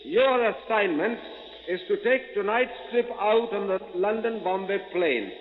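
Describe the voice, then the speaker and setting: A long, hollow reverb and narrow vintage radio sound surround an old spymaster. His high voice is grave and commanding, setting out orders formally and deliberately, in 1940s serial style. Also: animated, a little hiss or hum. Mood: stern, commanding. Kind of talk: 1940s radio adventure acting. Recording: muffled old radio recording, cavernous reverb.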